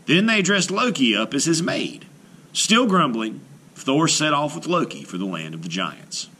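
Casting: male children's storyteller, theatrical, forceful, very varied pitch, unhurried. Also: breathy edge. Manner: expressive, theatrical, deliberate. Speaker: read-aloud storyteller. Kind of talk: dramatic children's storybook narration.

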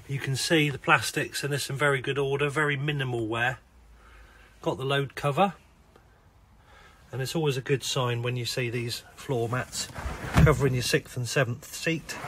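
A man with a croaky, hoarse voice and a British accent, casually talking through a car's features while filming in an indoor showroom. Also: mid-range voice, a little hiss or hum. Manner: relaxed, chatty listing of features. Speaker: car salesman. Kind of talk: walkaround car sales video. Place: indoor car showroom with tiled floor.

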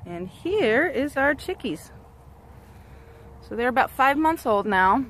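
A woman's voice: high voice, lively intonation, takes it slowly, fairly bright tone. A woman in her thirties giving a casual outdoor tour of her goat homestead, chatty with frequent ums and restarts, filmed on a handheld camera. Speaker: goat farmer and channel host. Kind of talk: casual farm tour vlog. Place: outdoors on a dry rural homestead. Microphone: camera held at arm's length, then walking behind it.

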